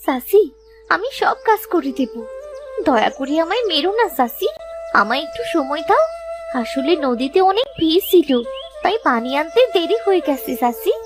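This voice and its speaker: A woman's voice: extremely high pitch, sing-song, moderate pace, over music, exaggerated voice. A woman playing a kind, mistreated young girl in a cartoon tale, speaking in a timid, pleading way. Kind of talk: animated moral tale voice acting.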